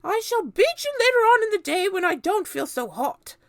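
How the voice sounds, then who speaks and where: Shrill nasty old auntie, screechy and harsh, way up high, woman doing the voice. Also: sing-song, moderate pace, a bit bright, dry recording, studio quality, exaggerated voice. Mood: furious. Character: shrill vain bony spiteful old aunt.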